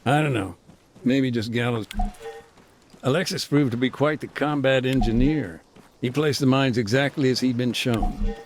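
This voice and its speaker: A middle-aged man voicing a frank former combat engineer turned demining worker, measured and matter-of-fact in a game cutscene. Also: medium pitch, a little hiss or hum, natural voice. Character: weary male ex-soldier turned deminer, frank. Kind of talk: voiced game cutscene dialogue.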